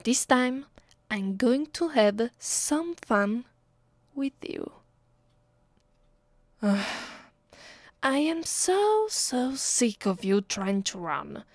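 A woman with an Italian accent voicing a cruel, bored, centuries-old vampire mistress in an ASMR roleplay, deliberate and condescending. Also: a little high, sing-song, no room sound, full character voice. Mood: weary, domineering. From Italy. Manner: slow, deliberate, menacing, condescending.

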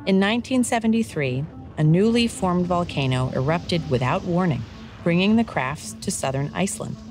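A woman with a low voice narrates a scripted story for children. Her delivery is measured and clearly articulated, and soft music plays behind her. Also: lively intonation.

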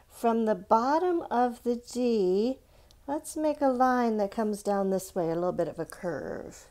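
A woman's voice: on the high side, warm tone. A woman giving a step-by-step drawing lesson for young children in simple, encouraging words, often checking in with questions. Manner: simple, encouraging, instructional, asks the listener questions.

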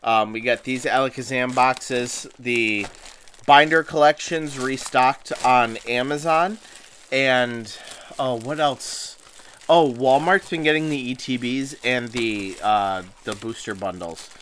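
A man hosting a card-opening video, chatting casually and a little hoarse from a cold, often restarting phrases and laughing as he talks. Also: medium pitch, fairly even intonation, slow pace, a bit bright, slight background noise. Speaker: card-opening channel host. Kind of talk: casual unboxing chat. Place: indoors at a table, hands on camera.

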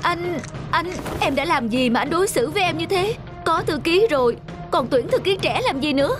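A woman voicing a jealous, hot-tempered young wife in an animated drama, with a sarcastic, accusing manner. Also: extremely high pitch, normal speed, over music. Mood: hurt, angry. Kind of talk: animated cartoon drama acting.

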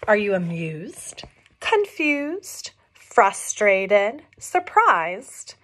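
A middle-aged woman reading a picture book aloud to young children, expressive and questioning, explaining things simply as she goes. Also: medium pitch, drawn-out words. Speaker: read-along storyteller for young children. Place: small room at home.

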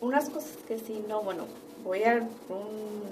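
A young woman from Mexico with a Mexican accent, chatting casually and a little haltingly to camera in her living room. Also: medium pitch. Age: young adult. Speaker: vlogger. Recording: distant mic, noisy room ambience.

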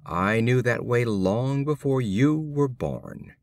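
A man voicing a proud old bull in a storybook, gruffly condescending and dismissive toward the young. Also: mid-range voice, expressive, slow, darkish tone, no echo, clean studio sound.

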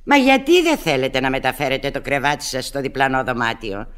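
A woman's voice: rumbling low, sing-song, clear, resonant tone. An actress playing a fussy, good-natured middle-aged landlady and mother in a Greek radio play, scolding fondly. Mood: fond, fussing. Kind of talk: Greek radio theatre acting.

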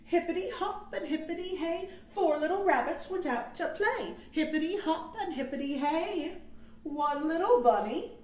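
An American woman in her thirties hosting storytime for young children, with sing-song, drawn-out phrasing, recorded indoors on a webcam mic. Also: very high voice, expressive, a little mellow. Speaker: children's librarian, storytime host. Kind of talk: storytime read-aloud and counting rhymes for children. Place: small quiet room indoors. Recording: roomy webcam sound.

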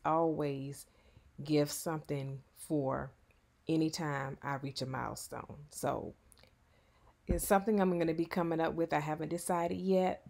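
Woman in her 50s doing a cleaning vlog voiceover, lowish voice, talks to her viewers like friends. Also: gravelly, a little mellow. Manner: chatty, affectionate, addresses viewers as y'all. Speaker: cleaning vlogger. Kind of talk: casual voice-over narration.

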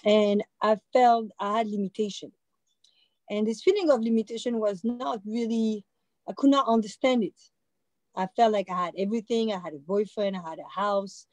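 A woman in her forties with a French accent speaks from a home setup through a laptop microphone. She talks casually, circling back with fillers and restarts, at an ordinary pitch for a woman. The sound is dry, with no room around it. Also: normal speed, darkish tone, no room sound. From France. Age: 40s. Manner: loose and conversational, with frequent fillers and restarts. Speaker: panel guest, visual artist. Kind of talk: casual personal storytelling on a video call. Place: indoor room with a warm-lit backdrop. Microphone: laptop or phone mic on a video call.

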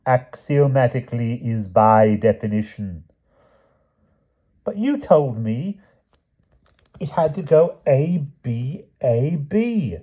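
A man's voice: mid-range voice, very animated, slow pace, pauses often, mellow, husky, pitched above the performer's own voice, heavily acted. A man voicing an exacting, exasperated foreign knight who tutors poetry in formal, stilted phrases with dropped articles, in a storybook reading. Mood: doubtful, polite. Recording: dull, muffled lo-fi audio.

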